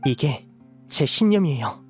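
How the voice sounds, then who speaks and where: A man's voice: a little high, big pitch swings, a little slow, a little mellow. An actor playing a loyal young man, earnest and respectful, in a dramatic game scene. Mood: earnest, resolute. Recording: music under the voice.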